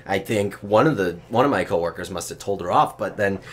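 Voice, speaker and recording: A man telling work anecdotes in a casual chat, animated and swearing freely. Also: low-pitched, brisk. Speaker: storyteller on a comedy chat show.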